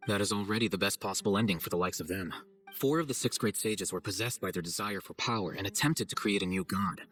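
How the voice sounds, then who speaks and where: A man's voice: fairly low pitch, quick pace, soft background music, close to an everyday voice. A man as a cool, sardonic young scholar. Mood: composed. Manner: composed, deadpan, condescending. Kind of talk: video game voice acting.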